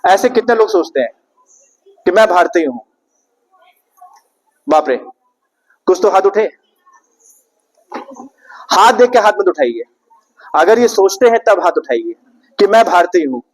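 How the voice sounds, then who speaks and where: Man, young adult, high pitch, public speech, rhetorical, repetitive, questioning the audience. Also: expressive, moderate pace, pauses often, rough recording. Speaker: speaker at an Independence Day event.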